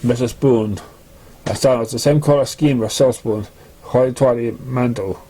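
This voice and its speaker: A man reading a story aloud in an amateur way, with a halting, stumbling delivery. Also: mid-range voice, warm tone, a little hiss or hum, rough recording. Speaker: fan fiction reader. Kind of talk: amateur story reading with put-on character voices.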